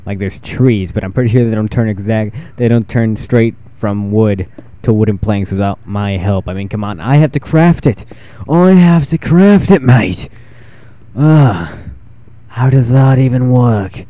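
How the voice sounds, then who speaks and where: Teenage boy, fourteen, deep new voice, dark tone, rambling, self-deprecating, gameplay commentary. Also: animated, measured pace. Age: 14, teenage boy with newly deepened voice. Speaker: gaming channel host.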